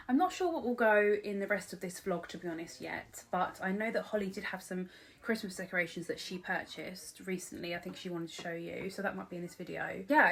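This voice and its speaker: A young British woman vlogger with a cold, sounding slightly nasal and bunged up, chatting casually to camera about her shopping haul with lots of "um" and "like". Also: on the low side. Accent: British English. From England. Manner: chatty, rambling, many "um" and "like". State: blocked nose from a cold.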